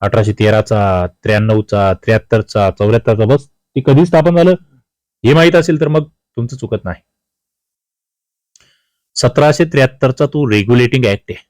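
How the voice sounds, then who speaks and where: A male coaching teacher with a mid-pitched voice walks through exam questions. He is didactic, putting each question to the learner and then supplying the answer. The sound is dry, with very little room in it. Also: lively intonation, normal speed, darkish tone, no echo.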